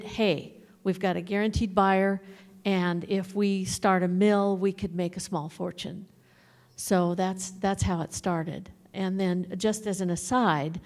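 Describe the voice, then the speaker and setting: A woman's voice: mid-range voice, darkish tone. A woman on a panel giving a hesitant explanation to an audience, with many fillers as she goes. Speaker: panelist on local history. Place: museum meeting room.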